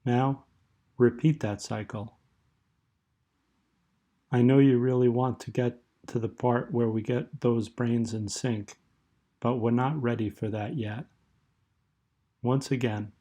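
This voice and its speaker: A man leading a guided meditation in a soothing, measured way, leaving long pauses as he speaks. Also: medium pitch, warm tone.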